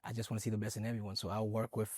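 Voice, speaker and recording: A man's voice: fairly low pitch, rapid-fire, no room sound, clean studio sound. A man from Haiti, speaking as a guest in a discussion and telling his story earnestly with lots of "it's like.". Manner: earnest, animated storytelling, many "it's like" fillers. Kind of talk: conversational discussion.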